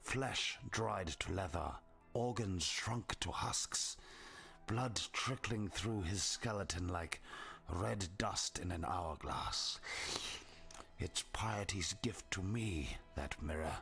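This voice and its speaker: A haunted exile, deep and gravelly. He broods slowly and morbidly, a tormented and bleak figure from a video game, with soft music underneath. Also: exaggerated voice. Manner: slow, morbid, brooding monologue. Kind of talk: scripted video game character dialogue.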